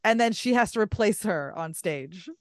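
A woman co-hosting a podcast, chatting casually, quick to laugh and chiming in with agreement. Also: medium pitch, animated, a crowd in the background. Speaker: podcast co-host. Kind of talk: casual chat.

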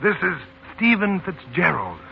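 Man of means, brisk, bewildered, expressive pitch, 1940s radio drama, dull hissy recording. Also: creaky, heavily acted. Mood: exasperated. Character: brisk, bewildered American gentleman employer.

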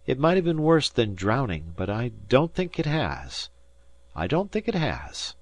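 Male reader as wealthy, indulgent father in an audiobook: measured, decisive, shrewd, widely varied intonation. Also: mid-range voice, wildly swooping pitch, dry recording, voice pushed up. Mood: reflective.

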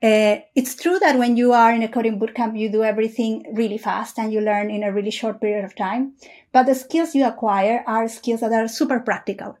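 Higher-voiced woman from Peru, measured. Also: fairly even intonation, a little mellow. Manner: measured and thoughtful, with frequent "uh" pauses. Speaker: software engineer guest. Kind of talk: casual interview answers.

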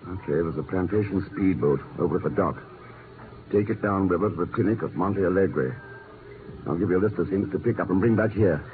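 An actor playing a cool, shrewd secret agent in a 1950s radio drama, speaking in a terse, unruffled way. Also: male voice, low-pitched, a little fast, lightly acted. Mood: cool, dry. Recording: noisy, muffled 1950s radio recording.

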